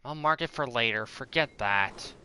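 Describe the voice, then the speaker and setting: A woman's voice: rumbling low, normal speed, bright, forceful tone, a little hiss or hum, rough recording. A woman streaming a video game, giving casual, reactive commentary as she plays.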